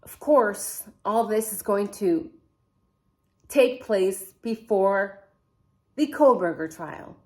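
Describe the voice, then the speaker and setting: A woman hosting a true crime vlog speaks slowly and unhurriedly, in English that is not her first language. Her voice sits at an ordinary middle pitch. She chats casually, thinks out loud and keeps asking her viewers what they make of things. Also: lively intonation. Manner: chatty, wondering aloud, asks viewers questions. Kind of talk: casual to-camera chat.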